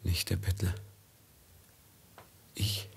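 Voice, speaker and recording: Gloomy merchant from 50s radio drama, low slow solemn poetic, drops to whispers. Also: male voice, little pitch movement, gravelly, heavily acted. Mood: bitter. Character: brooding, feverish male merchant haunted by doom. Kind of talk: 1950s German radio play acting. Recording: 1950s mono radio play recording.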